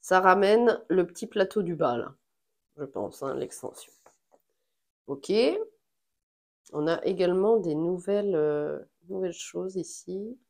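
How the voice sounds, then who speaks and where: A woman's voice: on the low side, a little slow, creaky, pauses often. A woman with a head cold chatting casually as she unboxes a board game, thinking aloud with plenty of fillers. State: has a cold.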